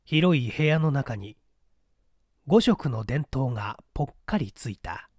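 Expressive male narrator, close dry sound. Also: mid-range voice, a little slow, dry recording. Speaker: audiobook narrator. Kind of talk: scripted dramatic narration of a mystery story.

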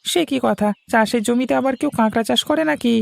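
A man's voice: very high voice, quick pace, vocal fry, no room sound, full character voice. A man playing a blunt, stubborn village farmer in an educational cartoon. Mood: scornful. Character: scoffing, stubborn village farmer, neighbour of the hero.

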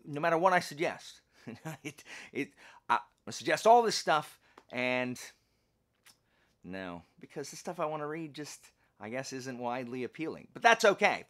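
Animated man with a bright, forceful voice. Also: medium pitch. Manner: animated, emphatic. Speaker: book channel host. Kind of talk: casual chatty vlog talk about books.

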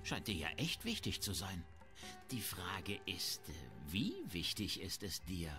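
A man's voice: deep voice, big pitch swings, a little slow. A man playing a shrewd, grumbling, self-important town merchant in a fantasy video game. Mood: sly, bargaining. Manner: haggling, disdainful, self-important. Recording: music behind the voice.

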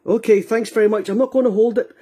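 A man's voice: high voice, steady tone, fast talker, warm tone, slightly rough. A Scottish man talking courteously and a little hesitantly as an amateur radio operator, spelling out call signs. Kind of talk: radio contact chat, procedural. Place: indoor radio room.

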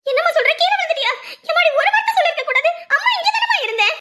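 A scheming village stepmother, played by a woman who gushes in a syrupy, high voice in front of the family. When no one is listening she turns sharp and spiteful. Her voice stays very high and bright. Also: big pitch swings, racing, background noise. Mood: fake-sweet.

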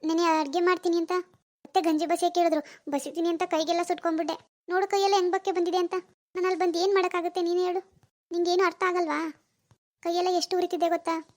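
High-voiced, scheming young wife played by a woman. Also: steady tone, fairly quick, natural voice. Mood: whiny self-pity. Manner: sly muttering alone, whiny playacting to others. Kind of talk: amateur domestic drama acting.

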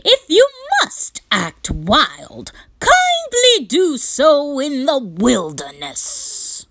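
A woman's voice: sits high, huge pitch swings, extremely slow, a bit bright, no echo, clean studio sound, voice pushed up, full character voice. A woman voicing prim, scolding animal townsfolk in a picture book, speaking in a stiff, formal, precise way. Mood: stern, prim.

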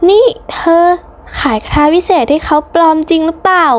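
A woman's voice: very high voice, steady tone, moderate pace. A woman voicing an anxious, bossy female schoolteacher in a cartoon story, emphatic and insistent. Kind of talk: hobby cartoon story dubbing.

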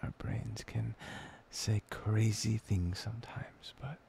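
Slow, creaky, soft-spoken man. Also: on the low side, little pitch movement, slight background noise. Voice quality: breathy, often dropping to a whisper. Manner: soft, tender, murmuring with long pauses. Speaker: ASMR boyfriend roleplay performer. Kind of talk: intimate boyfriend ASMR roleplay.

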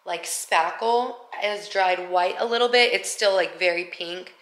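A woman's voice: on the low side, a little slow, a bit bright. A woman in her twenties chatting casually to camera in a daily vlog, upbeat and full of "like". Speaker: vlogger. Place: large bright home interior. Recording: roomy echo, faint noise.

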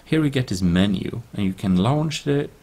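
A man's voice: medium pitch, animated, normal speed, warm tone, a little hiss or hum. A man narrating a tech tutorial as its host, in slightly awkward non-native English phrasing with some off-the-cuff asides.

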